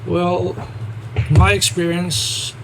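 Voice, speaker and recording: Moroccan-accented guest in his 30s, fairly high voice, plain emphatic English, noisy recording. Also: monotone, drawn-out words, gravelly. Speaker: guest, professional fighter and trainer. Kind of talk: casual interview chat. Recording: noisy background.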